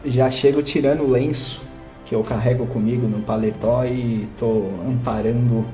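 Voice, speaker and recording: A man's voice: mid-range voice, steady tone, normal speed, echoey room, over music. A man with a Brazilian accent casually describing his actions as a tabletop game player.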